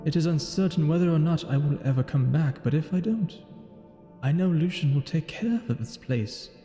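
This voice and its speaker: A man's voice: fairly high pitch, animated, moderate pace, mellow, natural voice. A man voicing a lonely, brooding thousand-year-old vampire who narrates his tale in solemn, formal, theatrical phrasing. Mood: solemn, uneasy. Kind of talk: scripted in-character story narration over gameplay. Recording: echoing hall-like reverb, music behind. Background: music.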